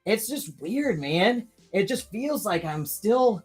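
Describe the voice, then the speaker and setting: A man's voice: sits high, very animated, slow, husky, soft background music. A man in his thirties hosting a live stream, chatting casually and rambling with many restarts while reading viewer comments. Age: 30s.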